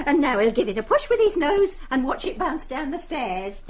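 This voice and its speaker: An actress playing a chatty, deferential, long-serving English maidservant in a radio drama, older and homely in manner. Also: female voice, high voice, very animated, normal speed. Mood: indulgent, amused. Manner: gossipy, respectful, homely.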